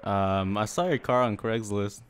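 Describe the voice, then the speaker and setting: Casual man making a prank call, deadpan. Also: lowish voice, unhurried, clear, resonant tone. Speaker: prank caller, gaming channel host.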